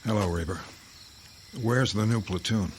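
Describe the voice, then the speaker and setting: A man's voice: fairly low pitch, normal speed, slight background noise, lightly acted. An actor playing a stern, haunted army captain in a radio drama, protective of his men, with clipped, commanding speech. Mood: weary, low. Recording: radio drama with loud music bed.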